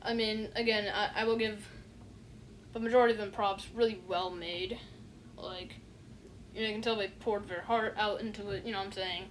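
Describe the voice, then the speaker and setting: A woman's voice: mid-range voice, slight background noise. A woman giving a casual spoken review, rambling with many fillers and a dismissive, unimpressed manner. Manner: rambling, heavy fillers, repeats "you know what I'm saying". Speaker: online reviewer.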